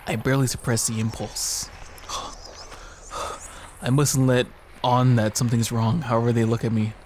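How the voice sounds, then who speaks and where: A man's voice: mid-range voice, flat delivery, measured pace, darkish tone, slight background noise. A young man reading game text aloud in a casual let's play, joking and theatrical. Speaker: gaming video co-host.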